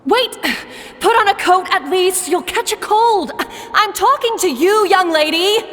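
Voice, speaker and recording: A woman playing a stern, exasperated, protective mother in a video game, with reverb and faint music. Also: high-pitched. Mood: urgent fussing. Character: fussing, scolding, protective mother of a young girl. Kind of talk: video game character acting.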